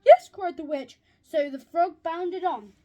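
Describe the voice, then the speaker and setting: A woman reading a rhyming children's picture book aloud, haltingly, stumbling and repeating words as she goes. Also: very high-pitched, mellow. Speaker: storybook reader.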